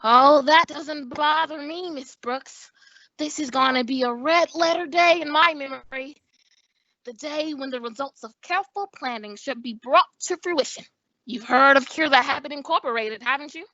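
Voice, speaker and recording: Woman playing a bright, high-voiced mischievous schoolboy. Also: moderate pace, dry recording. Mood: eager. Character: mischievous, eager teenage schoolboy. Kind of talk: old-time radio comedy acting. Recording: dull, muffled audio.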